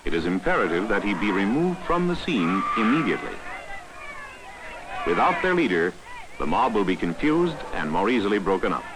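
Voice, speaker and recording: A man's voice: fairly low pitch, sing-song, moderate pace, background noise. A man narrating a 1960s training film from a script in a formal, authoritative, instructional manner, on a vintage soundtrack.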